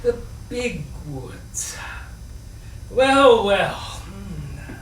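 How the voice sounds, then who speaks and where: A man's voice: high voice, sing-song, heavily acted. Man reading as a hesitant storybook pig, roomy distant sound. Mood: stalling. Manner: stalling, halting. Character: hesitant pig making excuses, male, modest and evasive. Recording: roomy, distant mic, faint noise.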